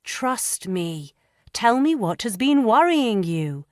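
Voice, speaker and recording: A woman's voice: a little high, sing-song, slow, no room sound, studio quality, pitched above the performer's own voice. A woman voicing a firm but caring young lady in an audiobook, insistent and soothing by turns. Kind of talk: audiobook character voice.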